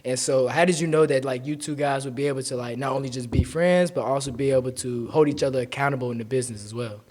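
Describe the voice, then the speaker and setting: A man's voice: medium pitch, fast talker, darkish tone. A man in his twenties co-hosting a podcast, asking loose questions full of fillers. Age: 20s. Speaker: podcast co-host. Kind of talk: casual interview chat.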